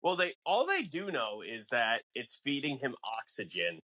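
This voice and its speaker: Animated man explaining in casual, halting conversation. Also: medium pitch, no room sound. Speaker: podcast co-host. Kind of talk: casual film discussion.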